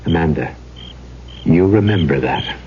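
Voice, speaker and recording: An actor playing a courtly, mysterious, melancholy gentleman with poetic, theatrical phrasing in an old-time radio drama. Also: very deep voice, expressive, measured pace. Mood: solemn, tragic. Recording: dull, noisy old radio recording.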